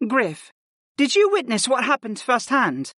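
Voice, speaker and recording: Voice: mid-range voice, wildly swooping pitch, a little slow, a bit bright, no room sound, clean studio sound, pitched above the performer's own voice, exaggerated voice. A narrator voicing a composed, professional, analytical woman healer mage in a fantasy audiobook. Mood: calm, concerned.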